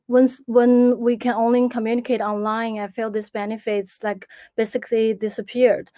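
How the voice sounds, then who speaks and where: A woman's voice: on the high side, darkish tone, no room sound. Young woman giving a careful online talk. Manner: careful, hesitant with fillers. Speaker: speaker. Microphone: video call.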